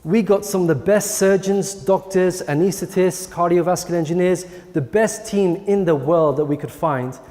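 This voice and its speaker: Guy doing a charity presentation, voice a bit high, echoing big hall. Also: moderate pace, few pauses, dark tone. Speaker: charity speaker. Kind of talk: prepared presentation on a project.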